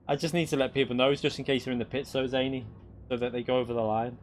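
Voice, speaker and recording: Chatty Northwest English lad streaming. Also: male voice, mid-range voice, fairly even intonation, fast. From England. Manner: chatty, laughs easily, answers viewer chat. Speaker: sim racing streamer. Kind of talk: casual live-stream chat.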